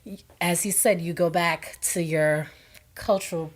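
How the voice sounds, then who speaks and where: A woman's voice: on the low side, fairly even intonation, a little slow. A Jamaican woman co-hosting a radio talk show, speaking in an affirming, conversational way. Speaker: co-host.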